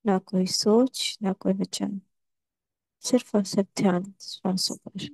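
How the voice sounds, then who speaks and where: A woman leading a guided meditation, giving gentle, measured instructions in short phrases. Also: mid-range voice, fairly even intonation, creaky, dry recording, rough recording.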